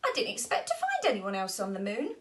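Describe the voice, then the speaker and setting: A woman's voice: mid-range voice, wildly swooping pitch, fairly quick. A woman voicing a friendly, jovial giant astronaut in a children's storybook, with a breezy, chummy manner. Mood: surprised.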